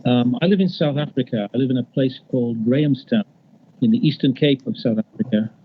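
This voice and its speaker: A man from Liverpool with a Liverpool accent, a poet telling the story behind his poem and then reading it on a video call, reflective and unhurried. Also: medium pitch, phone-quality sound, slight background noise. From Liverpool, England.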